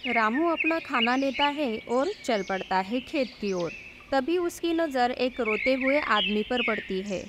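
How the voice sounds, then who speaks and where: High-pitched, unhurried woman storyteller. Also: lively intonation, very noisy. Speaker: narrator. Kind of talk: scripted children's moral story narration. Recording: background music under the voice.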